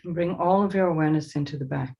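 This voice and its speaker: A woman leading a guided meditation as a teacher, giving slow, soothing instructions with pauses. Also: sits low, creaky, darkish tone.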